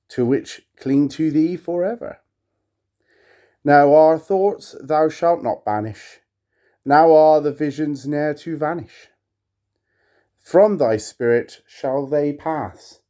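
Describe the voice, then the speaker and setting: A man's voice: mid-range voice, dark tone. A man giving a theatrical poetry reading, with wry, playful and self-mocking chatty delivery as he hosts his own video. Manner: slow, dramatic delivery of verse. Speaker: video creator and host reading poetry aloud.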